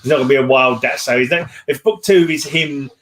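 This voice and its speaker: A man with a medium-pitched voice co-hosts a book discussion. His talk is casual and rambling, and he circles back over his own phrases. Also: brisk, fairly bright tone, a little hiss or hum. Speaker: co-host of a book discussion show. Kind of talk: casual chat.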